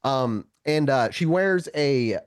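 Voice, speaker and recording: A man working as game master, improvising descriptive narration in a conversational way, often pausing for drama and correcting himself mid-sentence. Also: mid-range voice, animated, smooth, dry recording, clean studio sound. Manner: halting, self-correcting, dramatic pauses. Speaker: storyteller, game master of a tabletop roleplay show.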